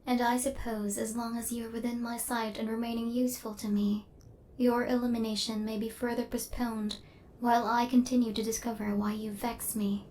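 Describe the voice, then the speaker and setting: A woman voicing a female killer robot in an ASMR roleplay, speaking in formal, clinical, literal phrases with a stern yet softening temper. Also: a little high, slight background noise, natural voice, rough recording.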